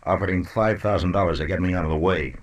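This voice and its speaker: An actor playing a tough, coolly menacing western marshal in an old-time radio drama, laconic, sardonic and commanding. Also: male voice, deep voice, quick pace.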